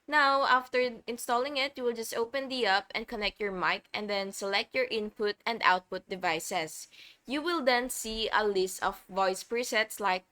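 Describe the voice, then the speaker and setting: A woman narrating a step-by-step software tutorial, slightly hesitant and now and then repeating her phrases. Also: fairly high pitch, moderate pace, smooth. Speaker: tutorial host.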